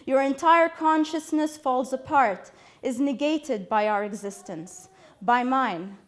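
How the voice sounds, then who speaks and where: A woman performs a spoken word poem in a high-pitched voice. Her delivery is impassioned and rhythmic. She leans hard on single words and lets the lines build. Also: takes it slowly.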